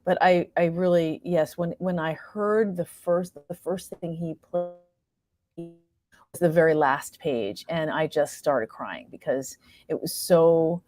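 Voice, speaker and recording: A woman's voice: low voice, slow, a little mellow, soft background music. A woman speaking as an interview guest about creative work, in a casual, effusive and appreciative conversational way. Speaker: playwright and performer, interview guest.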